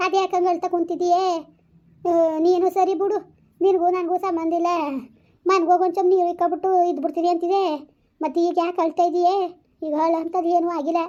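A woman's voice: very high voice, level tone, fairly quick, pauses often, dark tone, highs cut off. A woman acting a doting older mother consoling her grown son in a rural southern Karnataka accent, coaxing and repeating herself fatalistically. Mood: exasperated consoling. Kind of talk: amateur family melodrama.

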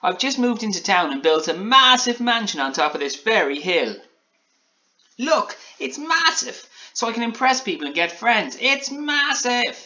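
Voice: medium pitch, big pitch swings, a little fast, sharp tone, dull audio, pitched above the performer's own voice. A storybook reader voicing a tiny, eager, boastful gingerbread man who is needy and showy. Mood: excited, boastful. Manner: eager, needy, showy, sing-song bragging.

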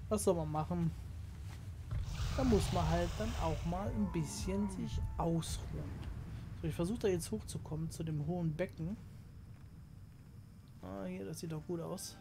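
A man hosting a gaming channel, chatting casually through his play and explaining it to viewers, his voice slightly hoarse from a cold. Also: high-pitched, a little slow, pauses often, background noise. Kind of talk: casual let's play commentary.

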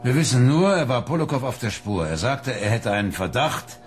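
An actor playing a businesslike police inspector giving a briefing in a radio drama. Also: male voice, mid-range voice, big pitch swings, fairly quick, faint music behind, close to an everyday voice.

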